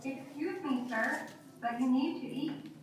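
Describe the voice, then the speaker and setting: A woman's voice: high-pitched, a little slow, slight background noise. A woman playing a polite, concerned servant nagging a master in a children's puppet show. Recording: very echoey, distant, music behind.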